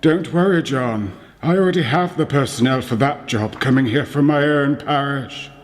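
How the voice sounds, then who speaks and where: An actor playing a self-assured, smoothly insistent bishop in a radio play. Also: male voice, mid-range voice, moderate pace, lightly acted. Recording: long echoing hall reverb.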